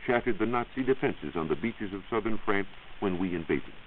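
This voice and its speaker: A man's voice: lowish voice, lively intonation, fairly quick. A man with an American accent explaining as a science reporter in scripted 1940s radio style. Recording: tinny, lightly hissy 1940s radio recording.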